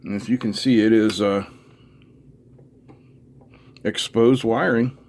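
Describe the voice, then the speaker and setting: A man's voice: fairly low pitch, steady tone, slow, a little mellow. A middle-aged man narrating hands-on teardown work casually, unscripted, muttering to himself as he goes. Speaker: host of an electronics teardown video. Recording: noisy room recording with clicks.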